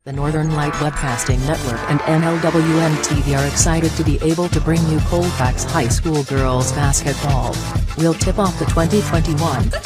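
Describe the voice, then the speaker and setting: A man's voice: fairly high pitch, moderate pace, over loud music. A man reading a promotional announcement for a broadcast network.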